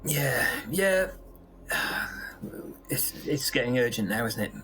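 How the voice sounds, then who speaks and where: A man acts as a hesitant, fretful male boss of a space factory. He talks very slowly, fumbling for his words in an everyday conversational way, as in improvised audio drama. Music sits faintly behind him. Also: mid-range voice, lively intonation, fairly bright tone. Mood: uneasy.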